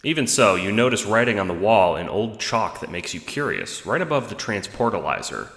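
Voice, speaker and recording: A man's voice: lowish voice, big pitch swings, brisk, fairly bright tone, slightly rough. A man reading scripted second-person narration for an audio drama, on a noisy home recording. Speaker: narrator and producer.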